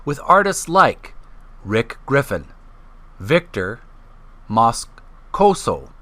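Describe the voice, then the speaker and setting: Male gaming vlogger, animated intonation, bright tone, hesitant delivery, casual presenting and read-aloud. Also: medium pitch, drawn-out words, no room sound. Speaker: host of a video gaming channel. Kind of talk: casual vlog intro and reading aloud from a book.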